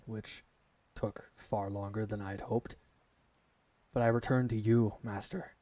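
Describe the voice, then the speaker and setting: A man's voice: on the low side, a little mellow, dry recording, natural voice. A man playing a devoted alpha werewolf servant in an ASMR roleplay, speaking formally and earnestly to his master. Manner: formal, measured, reverent address to a master.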